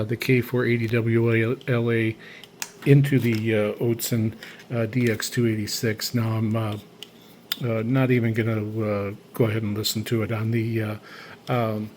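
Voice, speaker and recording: Halting man with a flat, even delivery. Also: medium pitch, a little hiss or hum. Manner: halting, deliberate. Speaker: shortwave radio hobbyist demonstrating receivers. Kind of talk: casual unscripted product demonstration.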